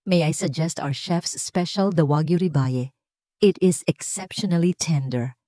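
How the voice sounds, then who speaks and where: A woman narrator voicing a poised young waitress in an audio story, calm and polite but pointed, with careful, emphatic diction. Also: low voice, a little slow, dry recording, studio quality. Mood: politely pointed.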